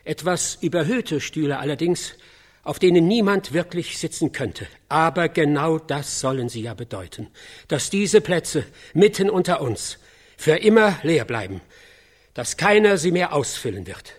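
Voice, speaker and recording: A male field reporter in a radio drama. His voice is husky and fairly high for a man, and he reports solemnly, in halting phrases. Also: animated. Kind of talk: acted on-location news report in a radio drama.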